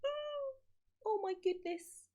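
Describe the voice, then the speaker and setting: A woman reading aloud to children as an expressive storyteller, speaking directly to her young listeners. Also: very high-pitched, slow, highs cut off. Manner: addresses child listeners with questions.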